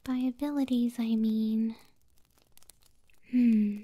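A woman's voice: on the high side, fairly even intonation, slow, no room sound. Woman as whispering, doting yandere moth girlfriend. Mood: coy, possessive. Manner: soft breathy whispering, sweet and cooing. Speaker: ASMR roleplay performer. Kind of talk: whispered ASMR roleplay. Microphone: close microphone.

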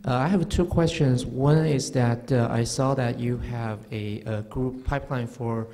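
A man's voice: medium pitch, fairly even intonation, warm tone. A man in a large conference auditorium asking a speaker a question into a handheld mic, speaking hesitantly. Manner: hesitant, halting phrasing. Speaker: audience member asking a question. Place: large auditorium, audience seating. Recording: reverberant hall.

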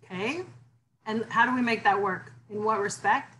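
A woman instructor with a low voice leading an online class discussion, emphatic and animated when talking theory. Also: moderate pace.

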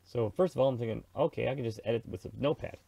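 A man's voice: medium pitch, rapid-fire, gravelly, warm tone, no echo. A middle-aged man from Canada giving a casual tutorial in a chatty, slangy, informal way, narrating his own thoughts as he goes. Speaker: presenter of a radio software tutorial. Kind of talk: casual screen-recorded tutorial.

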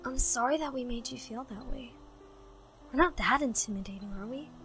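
A woman's voice: fairly high pitch, a little fast, a bit bright, faint music behind, natural voice. A woman playing a proud, determined young cartoon princess with a firm, commanding manner. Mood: gentle, sympathetic.

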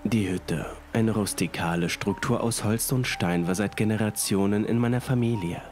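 A man's voice: lowish voice, moderate pace, darkish tone. A young man reading a scripted first-person horror story as narrator. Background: music.

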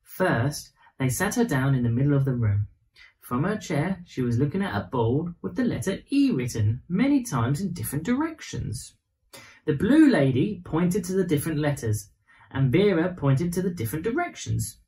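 Animated man reading a children's story. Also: fairly high pitch, moderate pace. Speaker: storybook reader.

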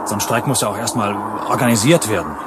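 An actor playing a plain-spoken male security guard in a radio play, colloquial and halting. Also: fairly low pitch, expressive, heavy background noise, close to an everyday voice. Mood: chatty, wary. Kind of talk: German radio play acting.

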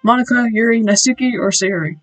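A woman's voice: a little high, quick pace, low-quality recording. A young woman streaming a game, chatty and casual, swearing and laughing at her own slips.

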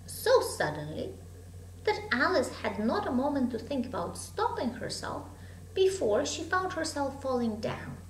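A woman in her thirties reads a children's story expressively, with dramatic, deliberate phrasing, in a room at home. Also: high voice, big pitch swings. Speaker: storybook reader. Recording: echoey room, hum and background noise.

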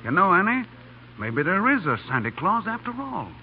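An actor playing a brash, soft-hearted, slangy streetwise man in 1930s radio style. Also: high voice, very animated, normal speed. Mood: cheerful. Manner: slangy, cocky. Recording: noisy, tinny 1930s radio recording.

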